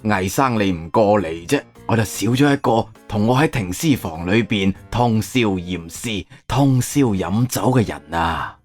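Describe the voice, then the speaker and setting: A man's voice: on the low side, expressive, unhurried, hardly any pauses, soft background music, voice pushed down. A man voicing a genial veteran forensic doctor in his fifties for an audiobook, chummy. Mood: warm greeting.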